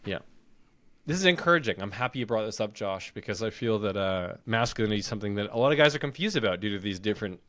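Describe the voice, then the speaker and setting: A man with a deep voice hosting a podcast, relaxed and easygoing in casual chat. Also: fast. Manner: relaxed, easygoing, laughs often. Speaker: podcast host. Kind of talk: casual conversation.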